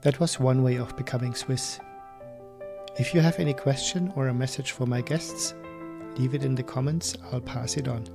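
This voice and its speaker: A man hosting an interview, asking questions in a halting way and repeating words as he goes. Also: mid-range voice, fairly even intonation, creaky, music in the background. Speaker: interview host. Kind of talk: casual interview.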